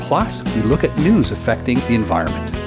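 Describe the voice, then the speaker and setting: A man's voice: mid-range voice, wildly swooping pitch, fairly quick. A man, a podcast host, reading a scripted promo for his show. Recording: loud music under the voice.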